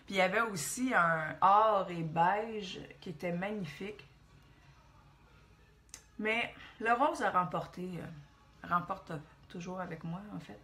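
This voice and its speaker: Québécois woman, 40s, bright tone, chatty rambling makeup tutorial host. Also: medium pitch, slow pace. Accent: Quebec French. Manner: chatty, rambling, thinks aloud.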